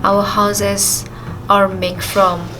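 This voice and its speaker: A woman student telling a personal story in slow, simple learner's English. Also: mid-range voice, little pitch movement, rough recording. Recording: guitar music behind the voice.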